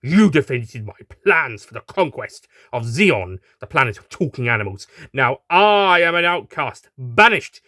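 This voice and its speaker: A man voicing a large, vengeful horse villain in an audio story, with a booming, pompous, snarling delivery. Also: very animated, unhurried, few pauses, sharp tone, no room sound, clean studio sound. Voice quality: deep, booming.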